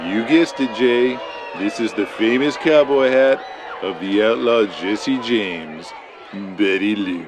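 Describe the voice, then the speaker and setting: An actor playing an arrogant, flamboyant 1980s wrestling champion, boasting and sneering in a showman's drawn-out promo delivery. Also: lowish voice, music in the background. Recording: loud noisy studio crowd behind.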